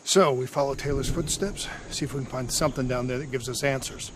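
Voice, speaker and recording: Man acting an earnest mid-pitched soldier. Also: fairly even intonation, fairly quick, background noise, natural voice. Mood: sober, determined. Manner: serious, plainspoken. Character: earnest, resolute adult male soldier. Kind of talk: video game cutscene acting.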